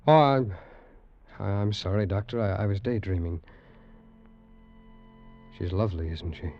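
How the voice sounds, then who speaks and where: An actor playing a dazed, lovestruck doctor's associate in an old radio drama, halting and dreamy. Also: male voice, on the low side, lively intonation, faint music behind. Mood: dazed, wistful. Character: dazed, lovestruck younger male surgical associate, mournful. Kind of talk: 1940s-50s radio drama acting. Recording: dull old radio broadcast recording.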